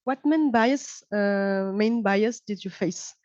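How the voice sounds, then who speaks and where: A woman with a mellow, warm voice puts questions to a guest at a panel. She hesitates and fills pauses as she goes. The sound is dry and dull. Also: medium pitch, dry recording. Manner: hesitant, with many fillers. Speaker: interviewer.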